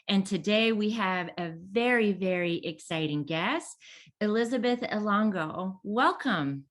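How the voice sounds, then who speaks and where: Host: a woman in her thirties, mid-pitched, bright and enthusiastic over a video call. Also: animated, unhurried. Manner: enthusiastic, upbeat. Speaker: podcast host. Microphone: video call laptop mic.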